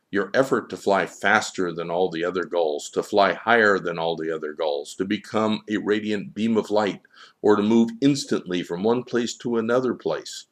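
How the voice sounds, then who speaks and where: A man voicing a stern, wise divine child in a storybook, with a measured, lecturing manner. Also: low voice.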